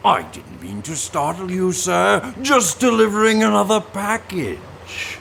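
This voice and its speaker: An actor playing a serene sailor in an audio drama, talking with an airy, mystical intonation. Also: male voice, high voice, very animated, measured pace, creaky, a bit bright, a little hiss or hum, exaggerated voice. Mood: dreamy, serene. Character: serene sailor who is one with the sea.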